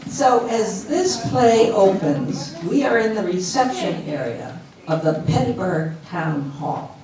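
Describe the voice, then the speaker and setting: A woman introducing a readers theater play to set the scene, in a deliberate, measured way. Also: mid-range voice, expressive, a crowd in the background. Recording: echoey room, distant mic, noisy.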